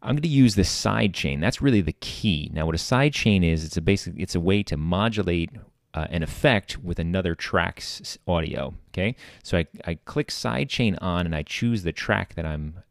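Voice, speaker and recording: A man hosting a casual software tutorial, talking informally and thinking aloud as he demonstrates. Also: expressive, moderate pace, gravelly, dry recording. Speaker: tutorial host. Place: screen-recording voice-over. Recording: loud electronic bass music behind. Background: dubstep bass and drum loops.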